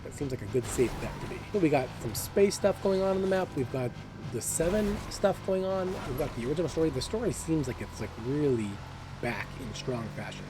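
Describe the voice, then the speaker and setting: A man's voice: a little high, expressive, a little fast, background noise. A man hosting a gaming video with casual, chatty commentary, thinking aloud and enthusiastic about the game. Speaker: gaming video creator and host.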